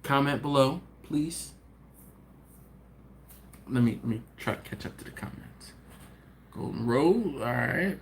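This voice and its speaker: A man's voice: mid-range voice, expressive, measured pace, pauses often. A man hosting a casual live stream, reading viewer comments aloud and rambling with frequent restarts. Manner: rambling, talks to viewers directly, restarts often. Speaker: barbecue channel host. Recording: roomy echo, faint ambient noise.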